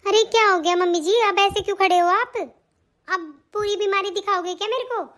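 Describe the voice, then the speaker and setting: A woman's voice: very high voice, fairly quick, a bit bright. A woman voicing a rude, selfish young daughter-in-law in a family cartoon, scolding in a curt, sarcastic way. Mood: irritated. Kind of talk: Hindi family cartoon story dialogue.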